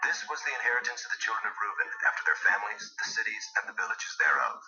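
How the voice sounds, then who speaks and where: Solemn, low-voiced man narrating scripture. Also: big pitch swings, distant mic. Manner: solemn, measured, formal. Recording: muffled sound with music behind. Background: music.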